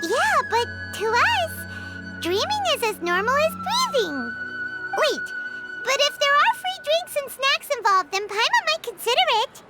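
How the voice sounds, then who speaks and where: A woman voicing a tiny, childlike floating companion in an anime game, excitable and boastful, with a squeaky, exclamatory delivery. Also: unhurried, heavily acted. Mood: nonchalant. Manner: speaks of herself in third person, exclamatory. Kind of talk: anime game character acting. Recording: music behind the voice.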